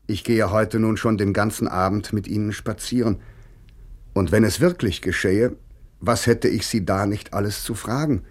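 A man's voice: on the low side, moderate pace, lightly acted. An actor playing a spirited eighteenth-century man of letters, conversational and articulate, reading his letters in mid-century German radio style. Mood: tender, teasing.

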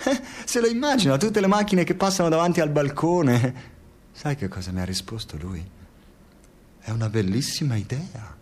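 An actor playing a sardonic, neurotic Italian expatriate in a radio drama, speaking in a halting, digressive monologue with an Italian accent. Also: male voice, a little high, lively intonation, a little mellow. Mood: indignant, mocking. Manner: halting, digressive, swearing, swings from muttering to exclaiming. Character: bitter, rambling precarious Italian expatriate man, sardonic and neurotic.

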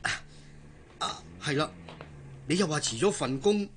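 Earnest young Hong Kong sailor, higher-pitched. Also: male voice, expressive, measured pace, slight background noise. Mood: concerned, hopeful. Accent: Hong Kong Cantonese. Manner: earnest, colloquial, solicitous. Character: earnest, devoted young sailor, kindly and plain-spoken. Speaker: actor in radio drama. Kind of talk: Cantonese radio drama dialogue.

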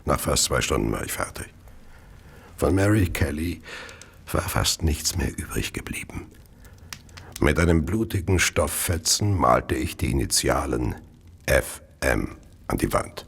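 An actor in a German radio play voicing a deranged, obsessive murderer with a gravelly, breathy voice and a halting delivery. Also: male voice, extremely low pitch, expressive, a bit bright, full character voice. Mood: cold confession. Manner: halting, slow, erupting into frenzy. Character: deranged, obsessive Victorian murderer, brooding and confessing.

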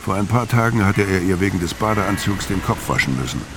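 A man's voice: sits low, lively intonation, fairly quick, noisy background. An actor in a radio crime drama playing a laconic, brooding, world-weary middle-aged tough guy. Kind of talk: first-person narration in radio crime drama.

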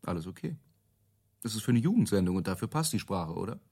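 A man's voice: lowish voice, very animated, fast talker, vocal fry, dark tone, breathy edge, full character voice. An actor playing a cool, self-assured businessman being interviewed in a radio drama, speaking assertively and tersely. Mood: matter-of-fact. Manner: terse, assertive, jargon-heavy. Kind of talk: naturalistic radio drama dialogue.

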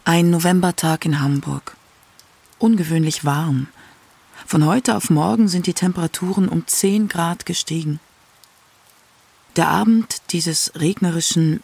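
A man's voice: fairly high pitch, gravelly, a little mellow, husky. A man narrating a scripted radio documentary as its feature narrator. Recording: music under the voice. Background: music.

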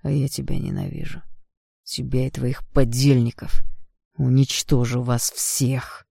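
A man voicing a bitter, anguished young man out for revenge in an audiobook, with a hoarse, snarling and mocking delivery. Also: mid-range voice, moderate pace, warm tone, no echo, clean studio sound. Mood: hateful.

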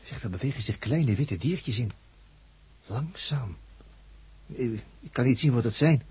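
A man playing an uneasy astronaut with a husky voice, muttering hesitantly in a 1960s radio drama. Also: mid-range voice, animated, a little slow, darkish tone. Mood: intent, puzzled. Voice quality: husky, raspy. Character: uneasy male astronaut watching a crewmate through a crystal.

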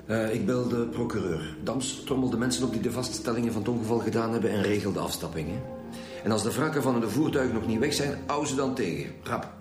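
A man plays a gruff Flemish detective chief in a radio drama. His voice sits on the low side and he talks in curt, impatient commands. Music is clearly heard behind him. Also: fast, close to an everyday voice. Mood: brusque. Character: gruff, impatient male homicide squad leader.

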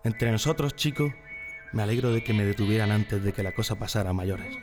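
A man's voice: lowish voice, a little fast, lightly acted. A man with a Spanish accent from Spain playing a naive, loyal party man in jail, earnest and confiding, in a radio drama. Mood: earnest, confessing. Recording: music behind the voice.

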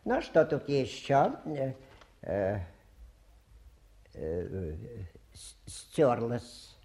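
A male actor playing a touchy, boastful travelling fakir in a radio play, with pompous, halting, theatrical patter. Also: medium pitch, wildly swooping pitch, warm tone, heavily acted. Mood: fussy, boastful.

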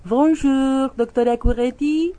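A woman's voice: high voice, fairly even intonation, takes it slowly, dark tone, no room sound. A woman playing a courteous, eager radio host with an Argentine accent in a satirical radio play. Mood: upbeat presenting. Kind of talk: satirical radio theatre interview.

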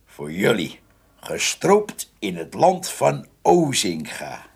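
An actor playing a blunt, folksy old countryman in a radio play, with a gravelly, husky voice and halting phrases. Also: fairly low pitch, huge pitch swings, exaggerated voice. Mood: hearty, stubborn. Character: gruff old countryman, poacher, loyal drinking companion.

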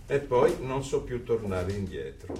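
A man's voice: mid-range voice, big pitch swings, a little slow, darkish tone. An actor playing a resolute male dissident, giving an earnest, reflective inner monologue in a radio drama. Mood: earnest resolve. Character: hopeful, resolute male dissident narrating his inner thoughts. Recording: roomy, distant, faint ambient noise.

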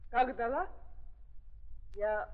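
A woman's voice: fairly high pitch, drawn-out words. An actress playing a weary, frightened young woman on trial, speaking plainly and insistently in 1930s stage radio style on an old recording. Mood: subdued, recounting. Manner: plain simple speech, repetitive insistent denials. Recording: tinny, narrow-band 1930s archive recording.

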